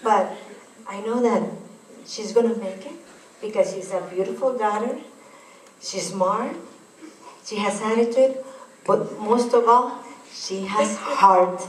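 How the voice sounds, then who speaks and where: A woman's voice: medium pitch, slow pace, pauses often, slight background noise. A middle-aged woman, nervous about speaking in public, giving a heartfelt, hesitant speech about her daughter. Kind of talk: short speech at a school assembly. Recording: echoey gym recorded from far off.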